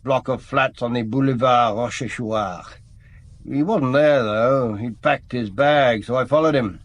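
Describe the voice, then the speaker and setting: An actor playing a matter-of-fact male local police officer in a radio drama, reporting in a brisk, plain manner. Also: medium pitch, lightly acted.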